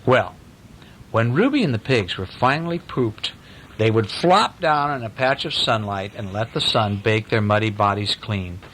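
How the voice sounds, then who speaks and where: A man reading a storybook aloud to children in a playful, animated way, with asides to his young listeners. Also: lowish voice, normal speed, slight background noise, low-quality recording. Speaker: parent reading a bedtime story.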